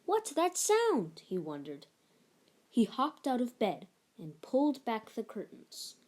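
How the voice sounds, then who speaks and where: A woman reading a children's picture book aloud, narrating in an expressive, measured storytelling manner. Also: medium pitch. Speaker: story reader.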